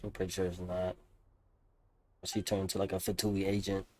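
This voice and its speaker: A man's voice: low voice, flat delivery, fairly quick, warm tone. A man hosting a reaction video, giving casual, slangy, laid-back commentary over a game. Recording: faint background noise, game audio behind. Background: game dialogue and ambience.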